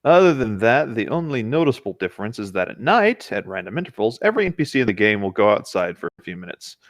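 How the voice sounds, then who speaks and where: A man's voice: lowish voice, big pitch swings, fast talker, no echo. A man reading a story aloud as co-host in a casual, conversational way, with dry asides.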